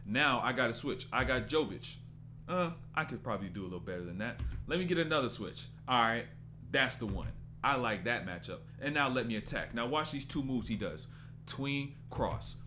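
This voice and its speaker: A man's voice: medium pitch, lively intonation, moderate pace, bright, forceful tone, faint music behind. A man in his twenties doing casual live-stream basketball commentary, loose and rambling as he stops to answer chat. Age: 20s.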